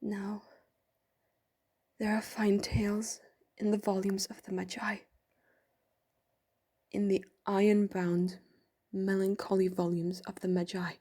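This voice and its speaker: A woman narrating an audio drama in a hushed, breathy, near-whispered way. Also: mid-range voice, little pitch movement, slow, long pauses.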